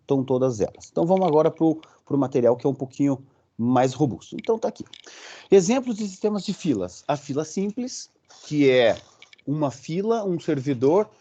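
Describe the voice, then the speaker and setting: A man's voice: a little high, expressive, brisk, warm tone, lo-fi sound. A man with a Brazilian accent giving a recorded university lecture. He explains step by step and often checks in with the class using short fillers. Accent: Brazilian Portuguese.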